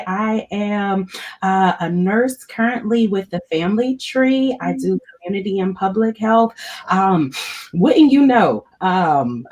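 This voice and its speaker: A woman's voice: medium pitch, darkish tone. A woman in her thirties from Ohio, chatting informally and playfully as a nurse on a panel. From born and raised in Cincinnati, Ohio. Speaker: community health nurse panelist. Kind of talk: casual chat.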